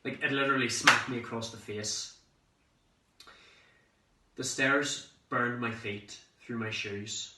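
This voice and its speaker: A man acts out a cheeky young man, full of excitement, in a theatrical monologue. The voice is bright and medium-pitched, with dramatic pauses and conversational storytelling. It sounds echoey, recorded at a distance from the microphone. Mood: wry, nervous. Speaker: amateur actor performing a monologue. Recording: roomy echo, distant microphone.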